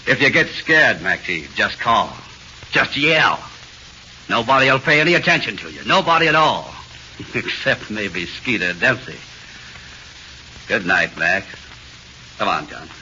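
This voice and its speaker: A man's voice: lowish voice, animated, unhurried, long pauses, sharp tone, full character voice. A man playing a sadistic, gloating prison policeman in 1940s radio horror, taunting with sneering menace. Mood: mocking. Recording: muffled, hissy 1940s radio recording.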